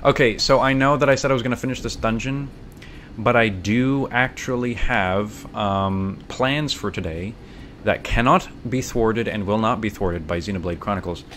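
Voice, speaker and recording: Low-voiced, rambling man streaming a game. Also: moderate pace, a bit bright, slight background noise. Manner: rambling, self-correcting, bookish. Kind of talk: casual gameplay commentary.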